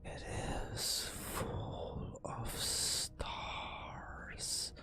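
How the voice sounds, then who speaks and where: A man softly narrating a fantasy game adventure as ASMR, in a breathy whisper with hushed, dramatic phrasing and long pauses. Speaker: ASMR gaming host. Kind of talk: soft-spoken ASMR storytelling over fantasy gameplay.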